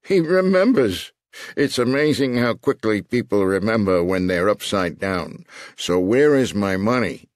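A man's voice: fairly low pitch, animated, a little slow, no echo, studio quality. A man voicing a menacing gangster loan shark in an audiobook, taunting with theatrical mock courtesy. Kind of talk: audiobook character voice.

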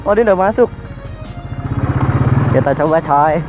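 A man's voice: a little high, expressive, a little slow, highs cut off, loud music behind the voice. A young man, chatting casually while riding a motorcycle through city streets at night. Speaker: motovlogger. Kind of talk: casual slangy motovlog chat.

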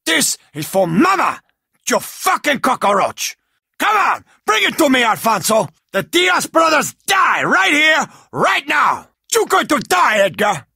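An actor playing a hot-tempered, vengeful gangster drug lord in a video game, with a Cuban accent and a raspy voice, throwing profane, threatening taunts. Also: male voice, extremely high pitch, huge pitch swings, measured pace, sharp tone, no echo, studio quality, exaggerated voice. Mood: furious, threatening.

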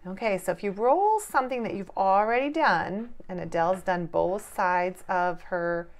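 A woman's voice: medium pitch, lively intonation, moderate pace. A woman hosting a casual children's lesson as a preschool teacher, talking encouragingly and with exclamations over a tabletop game. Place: indoors at a tabletop.

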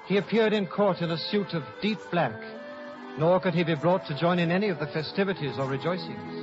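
An actor playing an earnest, loyal scholar in measured, solemn 1950s radio Shakespeare. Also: male voice, fairly high pitch, over loud music, close to an everyday voice. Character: Horatio, earnest loyal scholar recounting a tragedy. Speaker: narrator. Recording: muffled 1950s radio broadcast.

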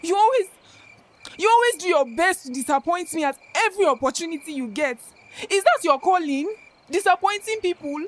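A high, exasperated voice: a young Northern Nigerian wife who is worn out by her husband. She sounds accusing and tired of his excuses. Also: animated, a little fast. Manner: accusing, weary. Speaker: radio drama actor.

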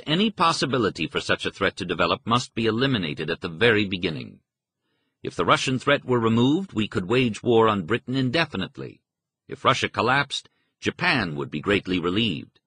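Male history audiobook narrator. Low, bright and forceful, formal scripted read with measured, articulate phrasing. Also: big pitch swings, slightly rough, no echo.

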